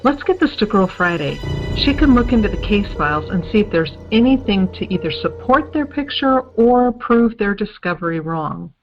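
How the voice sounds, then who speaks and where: A woman's voice: mid-range voice, animated, normal speed, hardly any pauses, soft background music, close to an everyday voice. A woman playing a skeptical, decisive private investigator in an old-time radio style drama. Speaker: investigator in an amateur audio drama. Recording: slightly dull lo-fi sound.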